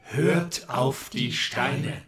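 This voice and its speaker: An actress playing a grave, imposing backer in a stylised satirical radio play. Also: female voice, extremely low pitch, drawn-out words, heavily acted. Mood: solemn. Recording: echoey, distant, loud guitar music behind.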